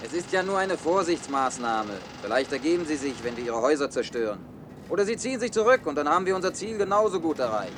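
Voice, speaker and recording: An actor playing a cynical, hard-headed man in a German science-fiction radio play, blunt and deliberate as he explains. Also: fairly high pitch, sing-song, background noise. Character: cynical, hard-headed male official defending his orderly world.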